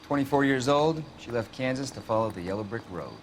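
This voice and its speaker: A man's voice: mid-range voice, lively intonation, a little fast, a little hiss or hum, close to an everyday voice. An actor playing a matter-of-fact patrolman in a crime drama game, giving plain, factual reports with a dry edge. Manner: plain, factual, dryly wry. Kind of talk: scripted crime drama acting.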